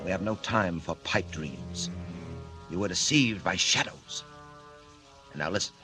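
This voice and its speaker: An actor playing a cold, imperious criminal mastermind in 1940s radio style, speaking formally and condescendingly. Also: male voice, low voice, animated, unhurried. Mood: stern, businesslike. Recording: old radio recording under loud music.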